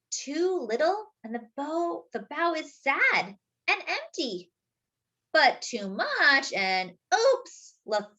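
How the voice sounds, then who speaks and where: A woman's voice: sits high, bright, forceful tone. A woman hosting story time, reading a picture book expressively for young children, stretching key words and adding simple explanatory asides.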